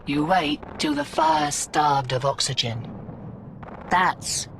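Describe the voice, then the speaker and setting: A woman's voice: low-pitched, takes it slowly, distant mic, background noise. A woman voicing a tough, sardonic, weary streetwise mercenary in a video game, with clipped, slangy delivery. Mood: grave, resolute. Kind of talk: scripted video game character dialogue.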